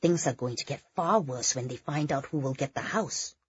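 A woman voicing a catty, gossipy society lady in an audiobook, speaking in a hushed, conspiratorial way. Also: extremely low pitch, moderate pace, husky, dull audio, exaggerated voice. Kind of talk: audiobook character dialogue.

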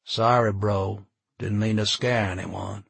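A man voicing a laid-back, sardonic aging hippie who cheerfully prophesies doom in an audiobook, with smiling, slangy drawn-out talk. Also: fairly low pitch, fairly even intonation, creaky, fairly bright tone, husky, no room sound, full character voice. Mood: laid-back, amused.

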